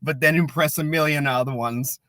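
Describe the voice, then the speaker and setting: A man's voice: fairly high pitch, steady tone, moderate pace, pushed and bright, no echo, clean studio sound. A man hosting a casual podcast chat, rambling and often repeating and restarting his phrases. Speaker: podcast host.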